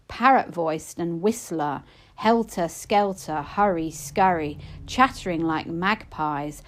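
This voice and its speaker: A woman reading narrative poetry aloud, with measured, expressive and dramatic phrasing. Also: fairly low pitch. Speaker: poetry reader.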